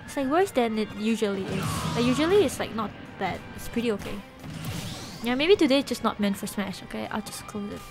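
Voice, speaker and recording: A young woman with a Singaporean English accent and a slightly high voice, chatting loosely with her stream viewers. She giggles often and drifts between half-finished sentences. She speaks into a desk mic in a small bedroom, with faint game music underneath. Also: expressive, brisk. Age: young adult. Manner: chatty and giggly, trailing off and restarting. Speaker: Twitch streamer. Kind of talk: casual live-stream chat with viewers. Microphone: desk microphone with headset.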